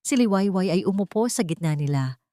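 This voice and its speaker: Female Filipino storyteller, low-pitched, earnest and sentimental, reading dramatic narration in a very dry close recording. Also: expressive, brisk, mellow, no room sound. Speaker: story narrator and channel host.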